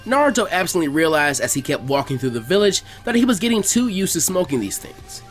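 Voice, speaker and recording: A man reading a scripted story aloud as a narrator, in an animated way. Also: a little high, a little fast, faint music behind. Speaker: fan fiction reader.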